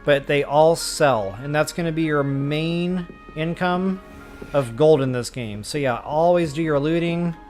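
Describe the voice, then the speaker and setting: A man with a somewhat high voice talks through a video game as he plays. He is informal and folksy, working things out aloud, with music playing lightly underneath. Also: a little slow, a little mellow. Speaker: game walkthrough host. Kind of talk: casual gameplay commentary, explaining as they play. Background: game music behind the voice.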